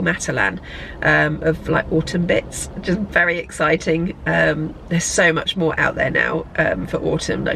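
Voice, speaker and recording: A woman's voice: low-pitched, creaky, a bit bright, a little hiss or hum, poor audio. A woman chatting casually to camera in a rambling way, a bit bunged up from a cold. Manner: rambling, chatty, self-correcting. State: congested from a cold. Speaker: lifestyle vlogger. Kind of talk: casual vlog chat. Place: parked car, then a hotel room. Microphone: camera on a tripod.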